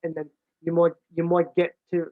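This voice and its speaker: A man's voice: fairly high pitch, completely flat delivery, moderate pace, no echo. A British man with a big voice, a football coach explaining how he develops his staff in plain, casual talk, often restarting and repeating words. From United Kingdom. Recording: dry, tinny phone-like line.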